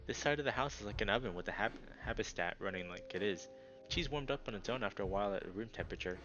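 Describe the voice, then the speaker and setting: A man's voice: on the low side, fast, dull audio, over music, lightly acted. A man voicing a nerdy, earnest male salamander writer in a visual novel. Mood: defensive. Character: nerdy male salamander sci-fi writer, defensive but enthusiastic. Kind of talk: visual novel character dialogue.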